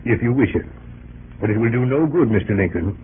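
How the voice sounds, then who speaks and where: An actor playing a formal, solemn emissary in a 1930s radio drama, with restrained delivery. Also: male voice, mid-range voice, lively intonation, fairly quick, lightly acted. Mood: solemn, resigned. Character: formal, solemn emissary doubting peace can be kept. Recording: tinny 1930s radio, faint noise.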